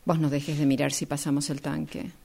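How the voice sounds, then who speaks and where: A woman's voice: low voice, little pitch movement, a little fast, vocal fry, darkish tone. An Argentine woman acting in a radio drama as a nervous, bossy driver, anxious and argumentative. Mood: doubtful, exasperated. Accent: Argentine, Rioplatense.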